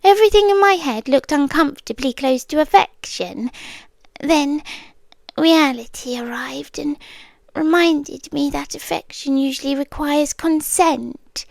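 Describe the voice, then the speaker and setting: A British woman in an ASMR roleplay, voicing an obsessive young woman who has kidnapped the man she loves. She speaks in soft whispers and muses slowly and calmly. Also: high voice, creaky, no room sound. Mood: brooding. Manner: soft whispering, slow musing monologue.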